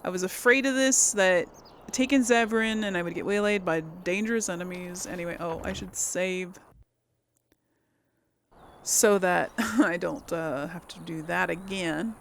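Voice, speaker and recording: A woman's voice: fairly low pitch, unhurried, slight background noise. A woman hosting casual video game commentary, thinking aloud as she plays. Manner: thinks aloud while playing. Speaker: gaming host.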